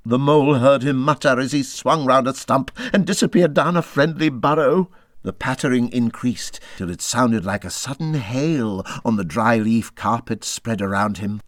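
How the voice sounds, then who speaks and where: A man reading a classic children's animal story as scripted narration, in a dramatic, expressive style that moves between hushed and urgent. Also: mid-range voice, moderate pace, no room sound, studio quality. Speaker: audiobook reader.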